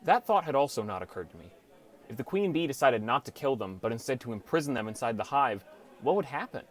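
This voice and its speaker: Man narrating an audiobook, medium pitch. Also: very animated, quick pace. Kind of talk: first-person scripted story narration.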